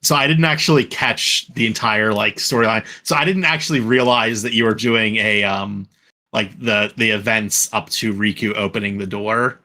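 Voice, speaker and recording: A young man talks casually as a podcast host from his home. His voice sits a little low but has a forceful, bright edge. He rambles and restarts his sentences. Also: fairly even intonation, moderate pace. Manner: rambling, lots of fillers and restarts. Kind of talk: casual chat.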